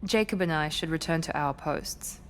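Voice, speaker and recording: A woman's voice: low-pitched, moderate pace, in a large hall, a little hiss or hum, close to an everyday voice. A woman playing a poised, businesslike officer in a video game, briefing in a formal, confident manner. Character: poised, businesslike female officer advising her commander. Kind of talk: scripted video game dialogue.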